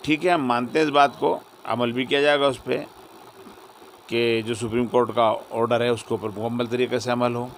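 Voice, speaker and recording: Measured man interviewed over faint traffic noise. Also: mid-range voice, fairly even intonation. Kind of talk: spontaneous interview answer. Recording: faint vehicle and ambient noise.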